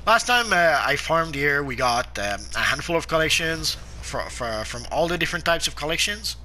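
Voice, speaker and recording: Casting: man, sharp bright tone, sniffly sore throat, halting game-guide chatter with lots of "uh". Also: fairly high pitch, very animated, a little hiss or hum. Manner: halting, frequent "uh" fillers and restarts. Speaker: gameplay guide narrator. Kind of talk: casual game commentary.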